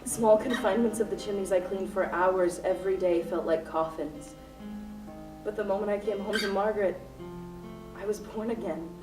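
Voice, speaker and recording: A woman's voice: mid-range voice, fairly even intonation, moderate pace, lightly acted. A woman playing a distraught, grieving widow who has taken hostages, in a dramatic, poetic radio play performance. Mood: tender, wistful. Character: distraught grieving widow, armed hostage-taker telling her love story. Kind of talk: student radio play acting. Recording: roomy distant stage recording with music.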